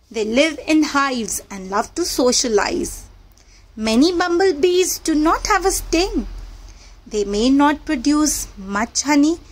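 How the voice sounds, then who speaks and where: A woman narrating a children's read-aloud book in a teacherly manner, addressing young listeners directly. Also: sits high.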